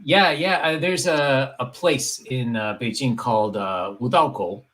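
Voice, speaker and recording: Man hosting a relaxed interview. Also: mid-range voice, a little slow. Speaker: podcast host. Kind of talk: casual interview chat.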